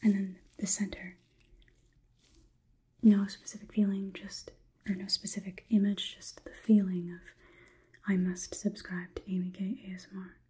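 A young woman whispering an ASMR roleplay close to the mic as a coolly logical robot overlord, in a halting, clinical, deliberate way. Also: medium pitch, flat delivery, dark tone. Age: young adult. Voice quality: breathy, unvoiced whisper. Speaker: ASMR performer. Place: small indoor room. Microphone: close microphone.

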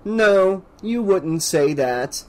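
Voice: medium pitch, lively intonation, measured pace, lightly acted. A narrator voicing a cocky, crafty young man, an officious bank employee in an audiobook, with a cold confidence.

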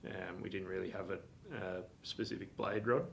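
A man in his twenties with a deep voice and very little rise and fall explains products in a casual interview. He is indoors, and a low rumbling noise sits behind his words. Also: steady tone, normal speed, gravelly. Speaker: product expert being interviewed. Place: office room with a table, indoors.

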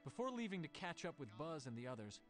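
High-pitched animated male storybook narrator. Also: quick pace. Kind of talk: dramatic scripted children's narration. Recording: music under the voice. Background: loud music.

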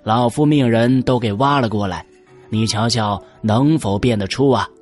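An actor playing an elderly, officious Tang dynasty prefect in an audio drama, with measured, old-fashioned diction. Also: male voice, medium pitch, faint music behind, lightly acted. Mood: grave, recounting.

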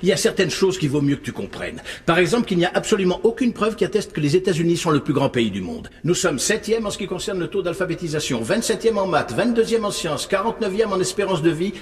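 An actor playing a jaded middle-aged news anchor in a dubbed TV drama, speaking in a biting, emphatic, rhetorical manner. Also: male voice, on the high side, slightly rough, full character voice. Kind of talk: dramatic monologue in a dubbed TV series.

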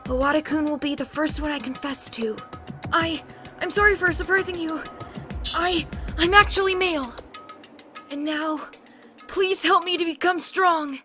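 A woman voicing a timid, gentle teenage boy in a fan manga dub, hesitant and soft, with stammers. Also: high voice, normal speed, long pauses, over music, natural voice. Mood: timid, pleading.

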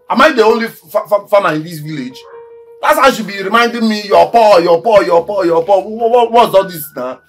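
An actor playing a poor, aggrieved young village farmer with a Nigerian accent, stammering and repeating himself in agitation. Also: male voice, high-pitched, fairly bright tone. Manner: agitated, stammering repetition of words. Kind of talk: Nollywood film acting. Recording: background music under dialogue.

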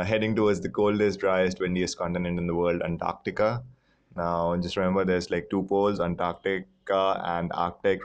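A man in his thirties giving a casual, rambling online lesson to students from a small room. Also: sits low. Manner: rambling, chatty, self-mocking. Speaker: teacher.

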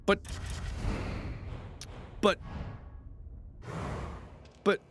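A man hosting a let's play, commenting on gameplay with sudden loud outbursts and mumbled asides. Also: very slow. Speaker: gaming video host. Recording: game music behind the voice. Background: game music.

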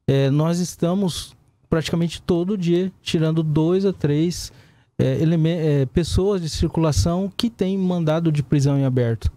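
A Brazilian man with a fairly high, soft-edged voice answers interview questions as a police commander. He explains step by step, checking in with short tags, close and dry on a studio microphone. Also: moderate pace, no room sound. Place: small indoor broadcast studio. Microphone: desk gooseneck microphone.

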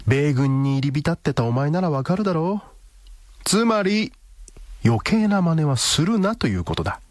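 An actor playing a ruthless, sardonic man in a radio drama, deadpan and commanding. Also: a little high, moderate pace. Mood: coolly threatening. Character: cool, ruthless, sardonic man blackmailing a villain.

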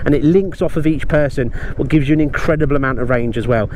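Middle-aged British man, effusive over loud road noise. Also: mid-range voice, fast talker, low-quality recording. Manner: emphatic, effusive. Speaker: motorcycle gear reviewer and host. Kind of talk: enthusiastic product review vlog.

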